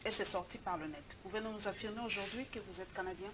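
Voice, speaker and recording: A woman's voice: mid-range voice, fast talker, noisy background. A woman hosting a TV news show and pressing her guest with pointed questions. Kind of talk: TV studio interview and news presentation.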